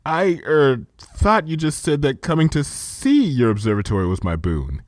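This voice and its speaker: A man's voice: on the high side, big pitch swings, moderate pace, a little mellow, no room sound, studio quality, pitched above the performer's own voice. A man voicing an earnest, bookish, anxious young female pony in a storybook reading, polite and careful in manner. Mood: uneasy. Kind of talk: storybook fan fiction character reading.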